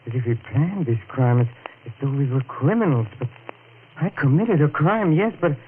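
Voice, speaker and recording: An actor playing an anguished, self-loathing man guilty of murder, halting and melodramatic, in the style of 1940s radio horror. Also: a little high, animated, natural voice. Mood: haunted, confessing. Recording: tinny, noisy vintage radio recording.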